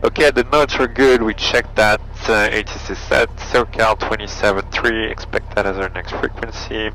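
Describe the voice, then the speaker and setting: A man with a low, bright voice runs through flight checklists as the pilot of a small plane. He speaks in short, clipped phrases, thinking aloud, with a gravelly fry and faint cockpit noise behind him. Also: steady tone, normal speed, rough recording. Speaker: private pilot briefing and flying a small plane. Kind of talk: procedural cockpit briefing and checklist talk. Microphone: aviation headset.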